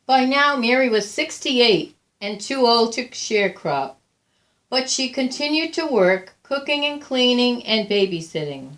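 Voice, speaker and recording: A woman reading a picture book aloud as a storyteller, deliberate and expressive, with frequent pauses. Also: a little high. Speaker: volunteer storybook reader.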